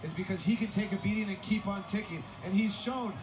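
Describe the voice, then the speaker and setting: Fast, high-pitched man in muffled broadcast. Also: noisy background. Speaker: wrestler as guest commentator. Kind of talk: fast argumentative commentary banter. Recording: dull replayed TV audio, loud music. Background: loud music.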